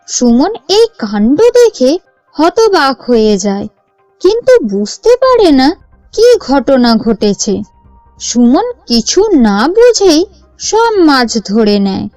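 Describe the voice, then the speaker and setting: Female narrator for a cartoon tale, unhurried and swooping in pitch, measured expressive delivery, dry sound. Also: dry recording. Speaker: storyteller. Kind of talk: scripted story narration for a cartoon.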